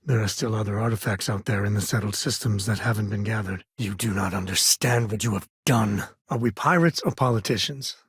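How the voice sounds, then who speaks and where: An actor playing an easygoing middle-aged frontier ranger and dad in a space video game, husky and raspy, with a wry, plain-spoken manner. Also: male voice, fairly low pitch, a little fast, few pauses, dry recording, studio quality. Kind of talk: video game character dialogue. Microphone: close, dry studio mic.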